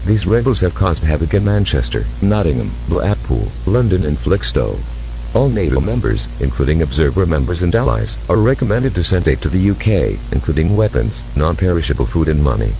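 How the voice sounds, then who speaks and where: A man voicing a grave, very deep secret-briefing announcer. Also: gravelly, exaggerated voice. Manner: formal, measured, commanding. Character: grave male official reading a secret military briefing. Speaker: announcer of a classified briefing tape. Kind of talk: scripted amateur audio drama. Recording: noisy tape with steady hum.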